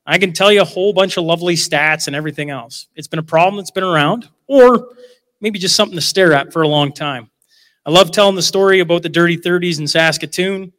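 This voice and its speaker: A man's voice: sits high, fast talker, fairly bright tone. A man moderating a live panel in a large hall, chatty and joking as he introduces the night's topic and speakers. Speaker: event moderator. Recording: long hall reverb.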